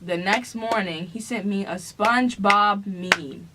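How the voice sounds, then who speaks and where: A woman's voice: medium pitch, takes it slowly, very noisy. A young adult woman in casual banter as a vlog co-host, teasing and playfully arguing back.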